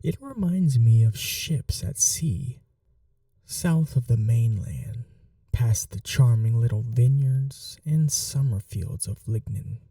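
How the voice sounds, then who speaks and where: Casting: woman to play possessive vampire mistress for ASMR roleplay, very soft dark tone, slow teasing delivery, breathy whispers. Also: extremely low pitch, expressive, no echo, distant mic, full character voice. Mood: wistful. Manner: teasing, coaxing, unhurried. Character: cruel, doting vampire mistress toying with her captive.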